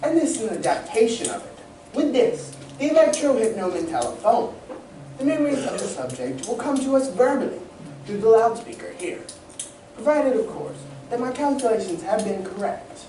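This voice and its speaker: A woman playing a proud, excitable, learned inventor in old radio serial style, speaking in a theatrical, professorial way. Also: a little high, normal speed. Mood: proud, explaining. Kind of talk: 1940s radio serial acting. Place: community playhouse stage reading. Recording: distant mic, echoey room, background noise.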